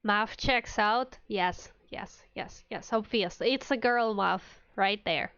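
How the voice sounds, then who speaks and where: A woman's voice: medium pitch, slow pace, fairly bright tone, clean tone, dry recording, dull audio. A woman livestreamer chatting casually with viewers in a playful, mock-indignant, giggly manner. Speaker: streamer, VTuber.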